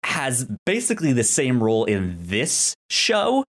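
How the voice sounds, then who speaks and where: A podcast co-host chatting casually about a TV show, haltingly, often restarting words and phrases. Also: medium pitch, huge pitch swings.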